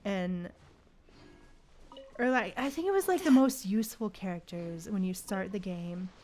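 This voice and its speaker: A woman livestreamer chatting casually in a slangy, animated way while gaming. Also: medium pitch, unhurried. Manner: chatty, animated retellings with frequent slangy exclamations.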